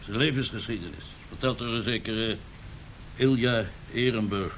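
A man's voice: lowish voice, moderate pace, fairly bright tone, a little hiss or hum, natural voice. A man playing a cool, sardonic hired killer in a radio drama, speaking with casual, mockingly polite menace. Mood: cool, offhand. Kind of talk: 1970s Dutch radio drama acting.

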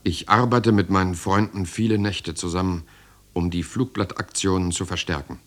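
A man plays an earnest writer who secretly resists, the narrating hero of a radio play. His voice is very deep, moving between dialogue and first-person narration. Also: fairly even intonation, moderate pace, lightly acted. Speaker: protagonist and narrator.

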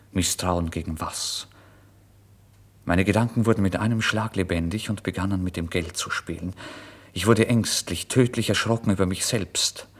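Ein Schauspieler spielt einen mittellosen, fiebrig aufgewühlten jungen Mann, der seine Geschichte in einem deutschen Hörspiel eindringlich als Ich-Erzähler vorträgt. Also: male voice, low-pitched, breathy edge. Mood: dazed, brooding, then anxious. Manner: intense, brooding, swinging between whispers and loud outbursts. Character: destitute, feverish young man confessing his story, tormented, exalted. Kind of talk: dramatic first-person literary narration in a German radio play.

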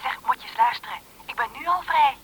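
An actress playing an eager, breezy fiancée talking down a telephone line in a radio play. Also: female voice, sits high, lively intonation, fast, background noise. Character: eager, breezy fiancée phoning her man. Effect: telephone filter. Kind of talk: naturalistic radio play acting.

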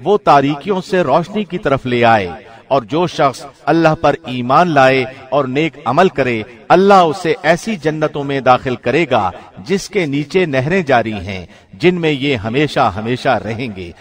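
A man reading a scripture translation from a script, with measured, formal diction like a radio presenter. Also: medium pitch, expressive. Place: studio.

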